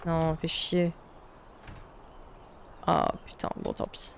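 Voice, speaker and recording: A woman's voice: low voice, wildly swooping pitch, slow pace, creaky, noisy background. A young woman from Belgium chatting casually while she plays a video game, thinking aloud and swearing often. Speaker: streamer playing a video game. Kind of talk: casual let's-play chat. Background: faint game music.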